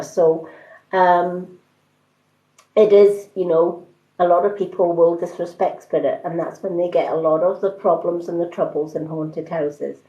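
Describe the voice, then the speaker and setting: A woman hosts a casual live stream as a card reader, speaking in a calm and soothing way. She takes her time, with a very unhurried pace. The sound is roomy and slightly dull, like modest livestream audio. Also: on the low side.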